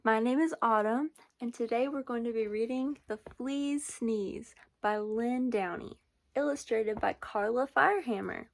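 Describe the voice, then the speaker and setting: Woman reading a kids book out loud, high voice, really slow and sing-songy. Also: vocal fry. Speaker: picture book reader. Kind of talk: children's storybook read-aloud.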